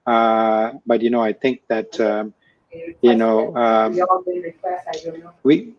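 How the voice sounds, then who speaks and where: A man from Malaysia, a guest answering interview questions in a measured, hesitant way with many fillers. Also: lowish voice, little pitch movement, muffled recording. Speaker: guest, former startup executive.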